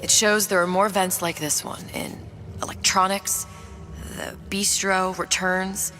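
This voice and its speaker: An actress playing a cool, enigmatic young femme fatale in a noir video game, speaking in a controlled, guarded, clipped way. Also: female voice, mid-range voice, steady tone, unhurried, pushed and bright. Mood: businesslike, urgent. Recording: music behind the dialogue.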